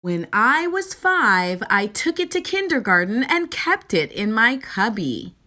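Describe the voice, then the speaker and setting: A woman voicing a firm but tender, reassuring mother in a children's storybook, with a deliberate, motherly manner. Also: on the high side, sing-song, pushed and bright, exaggerated voice.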